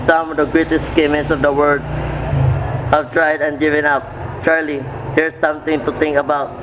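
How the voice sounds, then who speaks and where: Man playing an earnest professor, flat, tinny sound. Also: on the high side, close to an everyday voice. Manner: deliberate, emphatic, lecturing. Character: earnest male chemistry professor, wistful and serious. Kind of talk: amateur radio play acting. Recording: tinny phone-like audio, noisy background.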